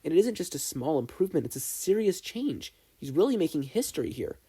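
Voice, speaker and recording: A man's voice: on the high side, very animated, a little fast, dark tone, breathy edge, voice pushed up. A man voicing an earnest, nerdy teenage boy student who explains things eagerly and at length. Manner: eager, long-winded explaining.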